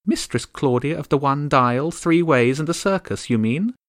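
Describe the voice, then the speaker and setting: A man voicing a tiny, haughty female starling queen with a high, prim voice and a sharp, clipped manner. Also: animated, normal speed, dry recording, clean studio sound, lightly acted. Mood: indignant.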